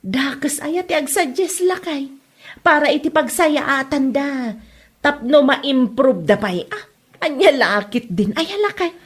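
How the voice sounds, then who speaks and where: A woman's voice: high voice, expressive, measured pace. A woman acting a gossipy, critical village woman in an Ilocano comedy audio drama, chatty and pointed, with an Ilocano Filipino accent. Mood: snide, defensive.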